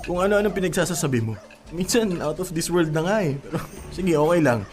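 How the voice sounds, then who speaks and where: An actor playing an affectionate, teasing, easygoing young boyfriend in a radio drama. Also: male voice, a little high, animated, brisk, natural voice. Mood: teasing, fond. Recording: music behind the voice.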